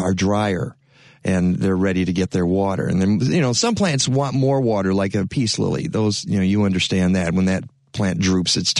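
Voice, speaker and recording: A man's voice: lowish voice, dry recording, studio quality. A man hosting a radio talk show, talking casually with many fillers and restarts.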